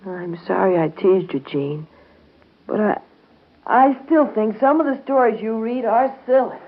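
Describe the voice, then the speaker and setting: A woman's voice: mid-range voice, a little slow. A woman in a 1930s radio soap opera playing a boyish, teasing young twin brother, blurting and slangy. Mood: sheepish. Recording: dull 1930s radio broadcast.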